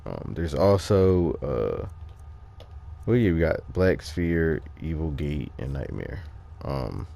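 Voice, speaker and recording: A man giving casual, off-the-cuff game walkthrough commentary, thinking aloud in a halting way. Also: extremely low pitch, big pitch swings, vocal fry, warm tone, slight background noise. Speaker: gaming video host.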